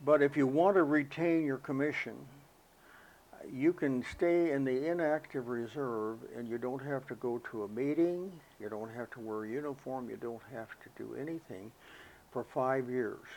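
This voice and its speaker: An elderly American man recalling his life as a veteran in an interview, speaking in a halting, reflective, unhurried way. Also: medium pitch, a little mellow, slight background noise, poor audio. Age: elderly, 80s or older. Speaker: interviewee, war veteran recalling military service. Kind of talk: oral history interview, personal recollection.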